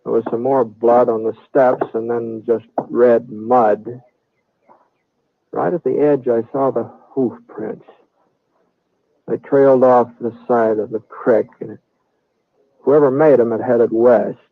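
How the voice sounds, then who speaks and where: A mild, thoughtful American gunslinger in an old radio western. He talks in a folksy, hesitant way at a middling pitch. The sound is narrow and muffled, very dry, like an aged broadcast. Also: steady tone, creaky, no echo. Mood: reflective. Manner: hesitant, halting, folksy drawl. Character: mild-mannered, thoughtful cowboy gunslinger quietly investigating. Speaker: lead actor and narrator of a radio western.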